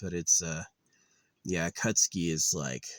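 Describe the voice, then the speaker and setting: A man's voice: low-pitched, measured pace, dry recording. A man in his late thirties musing in a rambling, weary monologue, with lots of fillers and restarts. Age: 30s. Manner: weary, resigned. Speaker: channel host.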